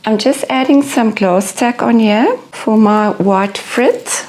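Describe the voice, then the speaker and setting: A middle-aged woman from South Africa, whose first language is Afrikaans, speaking with a South African accent as she calmly explains each step of a fused glass project. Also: on the high side, takes it slowly, faint music behind. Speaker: glass artist demonstrating a craft. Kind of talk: crafting tutorial voice-over and to-camera talk. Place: home room with a window. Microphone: lapel mic.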